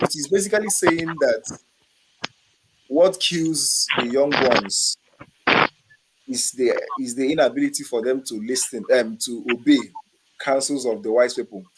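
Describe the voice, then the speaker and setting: A man with a mid-range voice speaks in a measured, hesitant way, pausing on fillers. A faint noise runs under his call audio. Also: medium pitch, rough recording. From Igbo region of Nigeria. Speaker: panelist.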